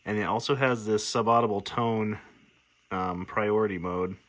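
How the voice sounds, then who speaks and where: A man's voice: on the low side, steady tone, moderate pace, a bit bright. A man from the United States giving a casual, plainspoken, matter-of-fact demonstration as a radio repair hobbyist. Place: indoor workshop at a workbench.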